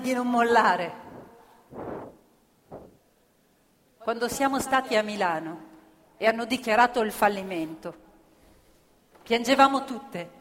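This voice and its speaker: A woman acting a former factory worker and union militant, proud and grieving, in an emphatic, deliberate theatrical monologue. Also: medium pitch, lightly acted. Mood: resigned, sorrowful. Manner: emphatic repetitions, deliberate phrasing. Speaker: stage actress in radio theatre. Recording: reverberant theatre hall, music playing along. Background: live violin music.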